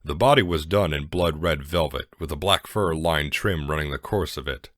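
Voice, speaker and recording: A man's voice: a bass voice, normal speed, a bit bright, dry recording, studio quality. A man narrating a scripted fan fiction story as a dramatic reading.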